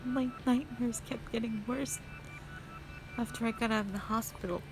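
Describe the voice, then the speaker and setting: Woman acting anguished, haunted young woman, higher-pitched. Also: steady tone, noisy background, close to an everyday voice. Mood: haunted, fearful. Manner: halting, anguished, pleading. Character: traumatized, despairing young woman haunted by her past. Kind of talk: anime-style audio roleplay drama.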